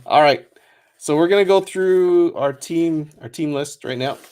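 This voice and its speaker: A man's voice: a little high, a little mellow, clean tone. Casual man hosting a card break. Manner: rambling, with many fillers and quick laughs; reads out a long list of names. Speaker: host of a trading card box break. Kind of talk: casual livestream chat.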